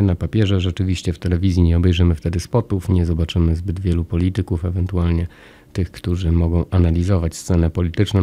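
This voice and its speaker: A man's voice: low-pitched, dark tone. A middle-aged man hosting a radio interview, asking long, rambling questions full of comparisons, at a studio desk mic in a small radio studio. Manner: long, discursive questions with analogies. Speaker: radio host. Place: small radio studio with orange acoustic panels. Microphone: studio mic on a desk arm, wearing headphones.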